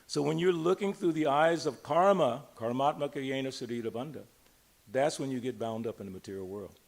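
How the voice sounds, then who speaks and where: A man's voice: medium pitch, fairly quick, vocal fry. An older man giving an informal temple sermon, conversational yet emphatic, putting questions to his listeners and drawing out key words. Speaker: religious teacher giving a temple discourse. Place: speaking live to a gathering in a temple.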